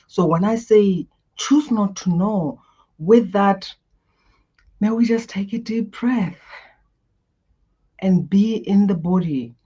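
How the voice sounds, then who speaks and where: Expressive woman. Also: sits low, slightly rough, dull audio. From Botswana. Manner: expressive, guides listeners through breathing. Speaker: guest coach. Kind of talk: animated interview answers.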